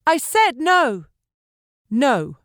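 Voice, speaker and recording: Woman acting a frantic, defiant woman, voice pitched high. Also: wildly swooping pitch, drawn-out words, fairly bright tone, dry recording, studio quality, exaggerated voice. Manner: urgent, emphatic, pleading. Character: frantic, defiant young woman pleading with her man. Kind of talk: audiobook character acting.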